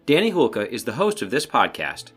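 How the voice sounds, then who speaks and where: A man's voice: medium pitch, wildly swooping pitch, brisk, fairly bright tone, husky. A man announcing a podcast episode in a scripted, upbeat read. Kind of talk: scripted show intro. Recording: light music under the voice.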